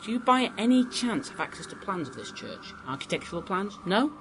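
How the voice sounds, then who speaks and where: A man's voice: sits high, very animated, fairly quick. A young man playing an earnest, anxious sidekick in a radio drama, fretful and urgent in his delivery. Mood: urgent, puzzled. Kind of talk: amateur radio drama acting. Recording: faint background noise.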